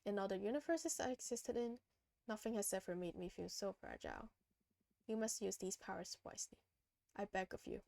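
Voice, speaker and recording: A woman voicing a grave, formal ancient behemoth from a video game, speaking in a solemn, measured manner. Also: mid-range voice, big pitch swings, gravelly, warm tone, no room sound. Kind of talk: reading video game dialogue aloud.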